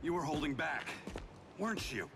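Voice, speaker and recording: Weary, higher-voiced, expressive superhero. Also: male voice, normal speed, vocal fry, very noisy. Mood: bitter, suspicious. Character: weary retired male speedster superhero seeking redemption. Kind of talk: video game cutscene acting. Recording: loud music behind. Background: loud cinematic music.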